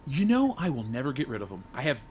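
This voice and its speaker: Casual man with faint rumble behind him. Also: huge pitch swings, quick pace, darkish tone. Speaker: panelist. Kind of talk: casual chat. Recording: faint low rumbling background noise.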